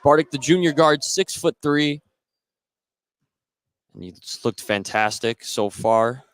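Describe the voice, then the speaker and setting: A man's voice: mid-range voice, animated, slow pace, a bit bright, no echo. A man with an American accent giving live play-by-play commentary as a basketball announcer. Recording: clear gym noise, bouncing basketballs behind. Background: basketball game in a gym.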